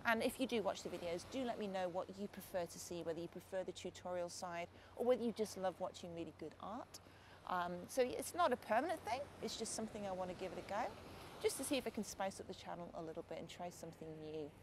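A woman in her forties from Lancashire with a softened Lancashire accent, chatting casually to camera on a beach and thanking her viewers. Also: on the low side, fast talker. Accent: Lancashire English, softened. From Lancashire, England. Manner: chatty, warm thanks, calls viewers guys and mate. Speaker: art channel host. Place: outdoors on a beach at sunset. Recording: noisy outdoor camera audio.

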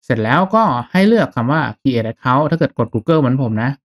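A man narrating a step-by-step software tutorial in a casual, conversational, instructional way. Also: medium pitch, moderate pace. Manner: instructional, conversational with polite particles. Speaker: tutorial host.

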